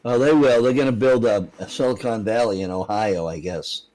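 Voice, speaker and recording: Easygoing man with a lowish voice. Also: moderate pace, darkish tone, rough recording. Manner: easygoing, chatty, joking. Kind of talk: casual chat.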